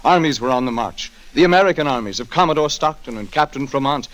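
Animated male old-time radio narrator. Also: mid-range voice, fast. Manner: solemn, declamatory. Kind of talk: dramatic scripted 1940s radio narration. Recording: 1940s radio broadcast recording.

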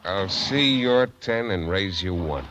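A man's voice: low voice, animated, slow pace, highs cut off. A man playing a tough, terse, combative working sailor in a 1940s radio drama. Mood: guarded. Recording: noisy, band-limited old radio recording.

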